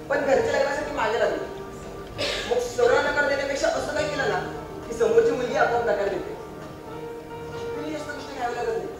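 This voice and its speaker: High-pitched, excitable young bachelor, played by a man. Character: excitable young bachelor, reluctant suitor with comic swagger. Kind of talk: stage play acting. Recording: echoey stage, distant mic, background music.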